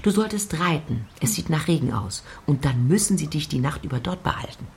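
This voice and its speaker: A woman playing a scheming, excitable middle-aged mother in a German radio play, impatient and exclamatory. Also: deep voice, fairly quick, gravelly, slight background noise.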